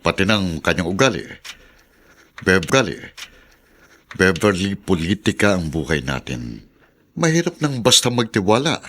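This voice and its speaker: A man's voice: deep voice, sing-song, a little slow, long pauses, sharp tone, exaggerated voice. A man playing a cautious, authoritative mayor and husband in a Tagalog radio drama, firm and admonishing. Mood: stern caution.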